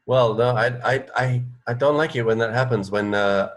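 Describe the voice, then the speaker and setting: A middle-aged man co-hosting a radio show, chatting casually and haltingly over a video call from home. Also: mid-range voice. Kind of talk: casual chat. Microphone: earphone mic on a video call.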